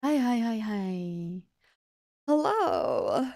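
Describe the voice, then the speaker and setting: A woman's voice: fairly high pitch, animated, extremely slow, darkish tone, no room sound, studio quality. A woman livestreamer chatting casually with her viewers in a bubbly, chatty manner. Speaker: livestreamer, virtual streamer host.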